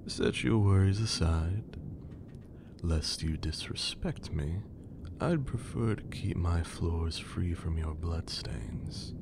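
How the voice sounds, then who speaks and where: A deep-voiced, imperious vampire lord, acted out by a man for an ASMR roleplay. He drawls theatrically, teasing and threatening in equal measure. The voice often slips into a breathy whisper and crackles with vocal fry. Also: heavily acted. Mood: teasing menace. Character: haughty, menacing, teasing male vampire lord. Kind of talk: ASMR roleplay, scripted character acting.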